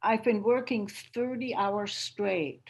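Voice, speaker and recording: A woman's voice: medium pitch, slow pace, breathy edge. A woman playing an exhausted, sarcastic young mother in a dramatic script read over a video call. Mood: scornful, sarcastic. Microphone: home microphone on a video call.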